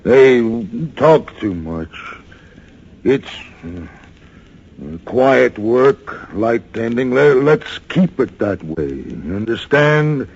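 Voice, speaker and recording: Slow, gravelly, surly head lighthouse keeper. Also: male voice, medium pitch, sing-song, darkish tone, exaggerated voice. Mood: surly, curt. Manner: halting, terse, stammering slightly. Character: surly, silent head lighthouse keeper, big burly man. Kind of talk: 1950s radio drama acting. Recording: dull vintage radio recording, faint music.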